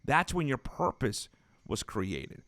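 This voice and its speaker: A man's voice: mid-range voice, big pitch swings, slow pace, fairly bright tone, no room sound. A man hosting a conversational interview, emphatic and repeating phrases as he builds a point. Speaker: podcast host.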